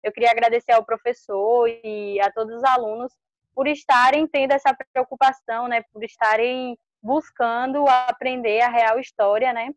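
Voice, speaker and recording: A woman's voice: a little high, no room sound. A Brazilian woman speaking casually as a guest in an online discussion, on a dull lo-fi call recording. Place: video call.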